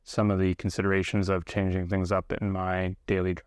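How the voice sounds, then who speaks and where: Low-pitched, even-toned man, reflective. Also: smooth, no echo, clean studio sound. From grew up partly in South Korea, living in Canada. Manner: unhurried, reflective, pausing mid-sentence. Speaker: stationery video host. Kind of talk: reflective casual monologue to viewers.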